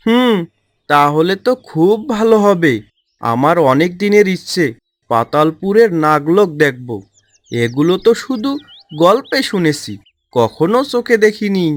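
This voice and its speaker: Man playing a slow-talking greedy young cowherd. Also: high-pitched, big pitch swings, dry recording. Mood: wheedling. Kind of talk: cartoon story dialogue.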